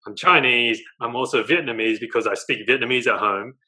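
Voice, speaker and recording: Animated young Australian man on video call. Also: medium pitch, brisk. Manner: animated storytelling, gestures a lot, tags lines with "right?". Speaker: guest, dating coach. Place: living room at home.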